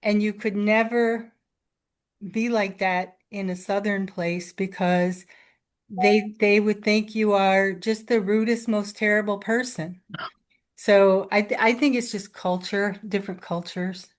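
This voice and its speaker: Give me a woman from the Midwest speaking unhurriedly in a casual video-call discussion. She pauses to think and weighs her words with care. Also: medium pitch, fairly even intonation. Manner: thoughtful, hesitant.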